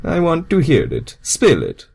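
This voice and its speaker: Man putting on an excitable plumber, pitched up. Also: unhurried, creaky, breathy edge, full character voice. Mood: friendly, eager. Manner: exclaiming, astonished, stilted phrasing.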